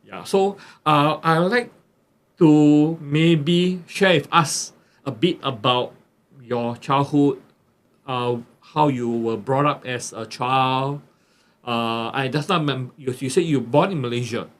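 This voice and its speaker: A man hosting a podcast, introducing his guest haltingly with many restarts. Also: mid-range voice. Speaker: podcast host. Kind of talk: casual interview introduction.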